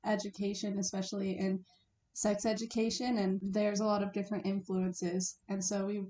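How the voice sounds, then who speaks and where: A woman's voice: medium pitch, little pitch movement, normal speed, dark tone. A young woman with an American accent, chatting casually as a student podcast co-host. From Utah, United States. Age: young adult. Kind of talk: casual chat. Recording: echoey room with faint noise.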